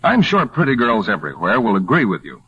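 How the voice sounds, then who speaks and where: A man's voice: fairly low pitch, huge pitch swings, fast, pushed and bright, husky. A man announcing a 1940s radio commercial in a selling style. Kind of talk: 1940s radio advertising pitch. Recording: dull, dry vintage radio recording.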